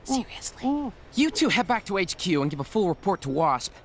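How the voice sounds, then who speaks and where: An actor playing an upbeat, friendly young superhero in a video game, breezy and quipping. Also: male voice, sits high, very animated, moderate pace, fairly bright tone, a little hiss or hum, heavily acted.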